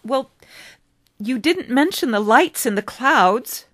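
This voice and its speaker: A woman voicing an earnest, awed young male character in a story, halting and eager as he questions. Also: high-pitched, expressive, a bit bright, voice pushed up, exaggerated voice. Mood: hesitant, earnest. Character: young David, earnest, hesitant, awed questioner of the old man.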